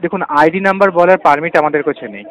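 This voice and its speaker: An extremely fast-talking man with a fairly high voice and a West Bengal Bengali accent. He sounds like a defensive call agent on a phone, interjecting and repeating himself. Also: a bit bright, poor audio. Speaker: loan recovery agent. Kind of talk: argumentative phone conversation.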